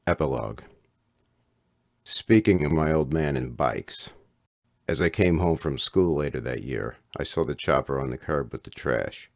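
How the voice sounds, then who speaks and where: A middle-aged man reading an autobiographical story as its author, in a measured, literate storytelling delivery. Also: extremely low pitch, vocal fry, dry recording, clean studio sound. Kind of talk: scripted autobiographical narration.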